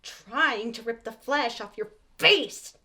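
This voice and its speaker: A woman's voice: a little high, takes it slowly, bright, forceful tone, heavily acted. A young woman playing a clingy, vengeful ghost in a comedy web series, melodramatic and possessive. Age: young adult.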